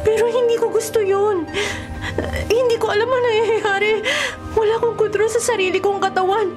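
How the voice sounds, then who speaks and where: A young woman in torment, her voice very high over dramatic music. She is a big sister fighting a monstrous curse, tearful and urgently pleading in radio horror style. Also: fairly even intonation, moderate pace. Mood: tearful anguish. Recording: dramatic music and effects behind.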